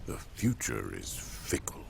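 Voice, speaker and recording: An actor playing a brooding, fatalistic villain in a fantasy video game, speaking in an ominous, drawn-out way. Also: male voice, noisy background, lightly acted.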